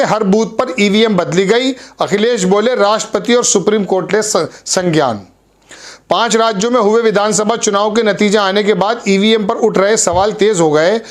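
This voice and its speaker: A man hosting an online news video, talking earnestly and correcting himself as he goes. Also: sits high. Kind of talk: news commentary to camera.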